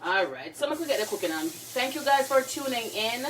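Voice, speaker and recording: A woman's voice: on the high side, lively intonation, a little fast, background noise. A woman hosting a casual cooking livestream from her home kitchen, chatting playfully with her viewers. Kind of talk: casual live cooking chat.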